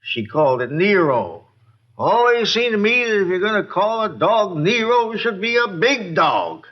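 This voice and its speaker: A man's voice: sits high, normal speed. An actor playing a folksy, boozy older American man who rambles and repeats himself in an old radio drama. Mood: rambling, excitable. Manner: rambling, repetitive, folksy, excitable. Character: rambling, boozy, folksy male neighbor witness. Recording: dull, lo-fi old radio recording.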